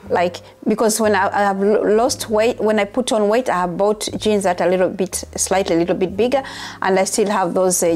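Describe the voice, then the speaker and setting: A woman's voice: mid-range voice, darkish tone. Chatty young woman from Uganda. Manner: chatty, repeats herself for emphasis. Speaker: vlogger. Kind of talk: casual chat to camera.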